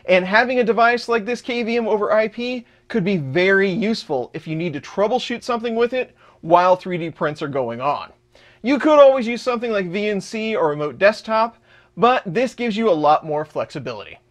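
A man in his thirties, his voice sitting a little on the high side, walks through a software setup one step at a time. He talks the way a home tech host does, steady and explanatory, recorded in his workshop. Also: brisk. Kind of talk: screen-recorded tutorial walkthrough.

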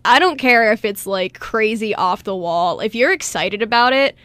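A woman's voice: mid-range voice, normal speed, a bit bright, smooth, no room sound. A young woman taking part in a radio show, chatting casually among friends.

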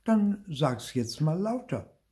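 Man as a patient, slow-spoken mother. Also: a little high, sing-song, dark tone, voice pushed up. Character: patient mother gently correcting her child.

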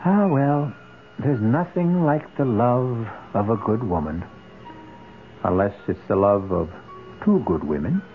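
A man hosting a radio mystery play, narrating in a wry, deliberate, theatrical way. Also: mid-range voice, lively intonation. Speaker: host of radio mystery anthology. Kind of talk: dramatic scripted radio narration. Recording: tinny old radio broadcast. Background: music.

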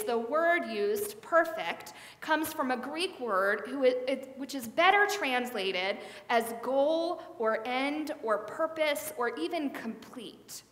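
A woman's voice: high voice, a bit bright. A middle-aged woman pastor giving a conversational sermon, gesturing as she speaks, on a headset mic in a large church sanctuary. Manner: conversational preaching with hand gestures. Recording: echoey hall with long reverb.

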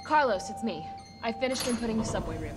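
A woman's voice: on the high side, lively intonation, fast, lightly acted. An actress playing a tough, defiant young woman cop in a video game, blunt and sardonic, in naturalistic cutscene style. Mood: determined. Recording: loud music behind.

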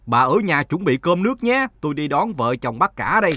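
A man's voice: fairly high pitch, very animated, fairly quick. A man playing a hot-tempered middle-aged village husband who scolds emphatically in a cartoon folktale. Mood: exasperated.

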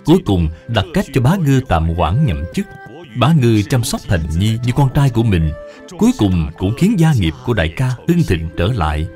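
A man's voice: on the low side, big pitch swings, normal speed, darkish tone, faint music behind. A man reading scripted moral narration for a cartoon.

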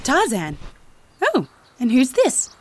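A woman's voice: high-pitched, huge pitch swings, takes it slowly, slight background noise, heavily acted. A woman playing an eager, polite young naturalist in a video game, prim and chatty with a British accent. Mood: curious, delighted. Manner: prim, chatty, well-mannered. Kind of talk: video game cutscene acting.

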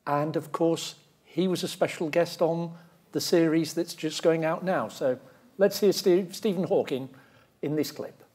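A middle-aged man interviewing on stage in a deliberate, emphatic way. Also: on the high side, darkish tone, slightly rough. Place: large lecture theatre stage.

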